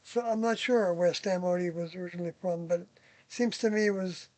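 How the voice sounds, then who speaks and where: Older interviewee recalling the past off the cuff; halting, thoughtful delivery with frequent pauses, very little room sound. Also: mid-range voice, a little mellow, no echo. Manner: hesitant, searching memory with long pauses. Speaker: interviewee, former member of a group recalling past experiences. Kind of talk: casual reminiscing.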